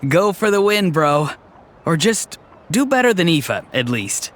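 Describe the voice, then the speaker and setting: A man's voice: high voice, sing-song, measured pace, a bit bright, a little hiss or hum, full character voice. Wry, lightish young man. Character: playful, probing young man, wry and friendly. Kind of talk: video game voice acting.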